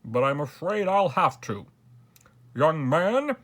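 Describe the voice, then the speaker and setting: A man voicing a blustering, impatient storybook king who commands and exclaims loudly. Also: fairly high pitch, sing-song, slow pace, voice pushed up, exaggerated voice. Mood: grave.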